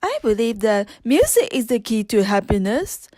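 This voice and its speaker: Lady doing a proper opinionated storybook fellow, earnest, highish and lively. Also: normal speed, dry recording, studio quality. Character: earnest male former enchanted clock, proper and opinionated.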